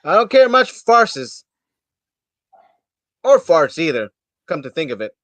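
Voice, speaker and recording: A man's voice: on the high side, sing-song, measured pace, a bit bright, no echo. A man playing a folksy, blunt small-town amateur thespian with juvenile humor, grumbling his way through a staged reading. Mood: cheeky. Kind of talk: community theater staged reading.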